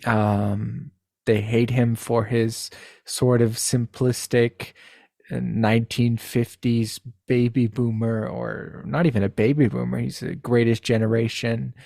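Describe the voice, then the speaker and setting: A man with a gravelly, creaky voice talks slowly in a very dry recording. He is a halting, wry guest who searches for his phrases and puts things bluntly. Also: mid-range voice, little pitch movement, mellow, dry recording. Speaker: podcast guest, translator. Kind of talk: casual discussion.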